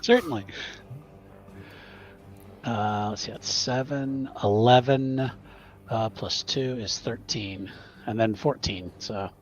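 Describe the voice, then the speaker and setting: A man's voice: medium pitch, slow pace, long pauses, highs cut off. A man chatting casually as a player at a tabletop game, tentative and thinking aloud.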